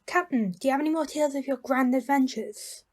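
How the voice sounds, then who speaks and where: A woman's voice: sits high, fairly quick, darkish tone, lightly acted. A woman playing an eager, cheeky tavern regular in an audio play.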